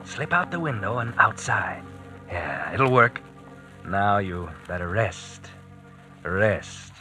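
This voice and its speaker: A man's voice: on the low side, animated, slow pace, over loud music. A man playing an ominous, taunting narrator in a 1940s radio suspense drama, on a vintage recording. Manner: taunting, intimate second-person address. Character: ominous male narrator addressing the anxious man he follows.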